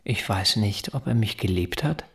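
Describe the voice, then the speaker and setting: An actor in a German radio drama playing an anxious, evasive, articulate father who speaks in a deliberate, formal, earnest way. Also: lowish voice, expressive, a bit bright. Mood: earnest, confessional.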